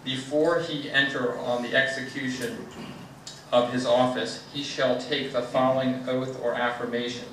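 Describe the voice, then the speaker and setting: A man's voice: mid-range voice, little pitch movement, moderate pace, fairly bright tone. A man giving a formal public reading of a legal document as an invited speaker. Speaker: invited guest reader, state attorney. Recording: echoey event, distant mic, faint noise.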